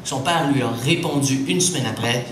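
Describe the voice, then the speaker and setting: A young man with a Québécois French accent doing a lively stand-up routine, slipping into put-on voices. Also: mid-range voice, moderate pace, noisy background. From Quebec. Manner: animated, switches into put-on voices and English. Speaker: stand-up comedian. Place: comedy club stage with a live audience. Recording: roomy, distant live stage sound, loud crowd. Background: audience laughter.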